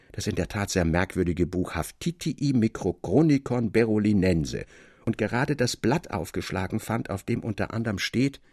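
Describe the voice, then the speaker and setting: A man playing a cheerful recovering invalid who tells stories in an expressive, articulate, theatrical way for a literary radio drama. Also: fairly low pitch, dry recording, studio quality. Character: Theodor, cheerful man recovering from illness, enthusiastic storyteller. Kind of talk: literary radio reading with acted dialogue.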